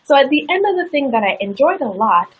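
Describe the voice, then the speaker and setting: A woman's voice: high voice, very animated, quick pace, narrow, tinny audio. A woman guest in an interview, telling a personal story in a candid, earnest way. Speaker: interview guest, success coach.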